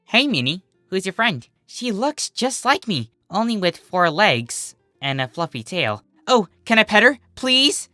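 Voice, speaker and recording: A storyteller voicing an innocent, excitable young female sea pony with an eager, gushing manner. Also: medium pitch, measured pace, few pauses, dry recording, faint music behind, voice pushed up. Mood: excited, friendly.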